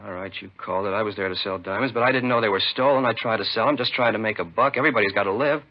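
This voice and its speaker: An actor playing a weary, evasive petty crook under questioning in a 1950s radio crime drama, slangy and grumbling. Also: male voice, lowish voice, racing. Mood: defensive. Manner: slangy, grumbling, feigning helpfulness. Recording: dull 1950s radio recording.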